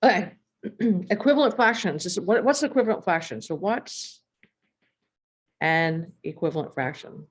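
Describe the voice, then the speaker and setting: Deep-voiced woman, math teacher, voice strained and going hoarse, explains step by step with throat-clearing. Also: lively intonation, normal speed. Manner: clears throat often, talks through examples step by step. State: losing her voice. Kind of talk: recorded math lesson.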